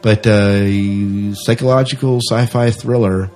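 A man's voice: on the low side, fairly even intonation, takes it slowly, a little mellow, soft background music. A man hosting a podcast in a casual, conversational style. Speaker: podcast host.

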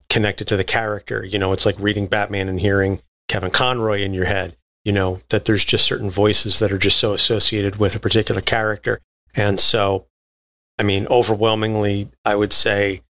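A man's voice: fairly low pitch, sharp tone. A man speaking as a podcast guest in casual panel discussion.

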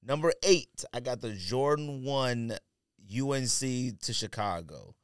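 Man, podcast co-host, animated pitch swings, dry close sound, slangy, offhand, casual banter. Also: measured pace, clean tone, no room sound.

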